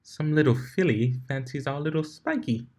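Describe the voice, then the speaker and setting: A man's voice: fairly high pitch, expressive, normal speed, dark tone, pitched above the performer's own voice. A man voicing a genteel, teasing female unicorn who loves gossip and drama, in a playful, affected way for a fan fiction reading. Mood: coy.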